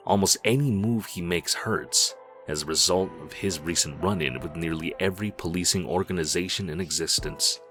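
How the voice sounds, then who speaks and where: Very deep male comic book narrator. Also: a bit bright.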